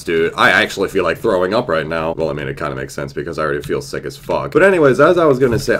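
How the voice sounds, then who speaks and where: A young man with a stuffy, nasal cold, ranting profanely while commenting on his own gameplay over a headset in his bedroom. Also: sits low. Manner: profane, exasperated rants. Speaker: gaming YouTuber. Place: small bedroom at a desk.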